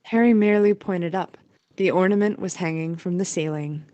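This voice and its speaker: Mellow, low-pitched woman narrator. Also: normal speed, no room sound, muffled recording. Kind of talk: scripted storytelling narration for an audio play.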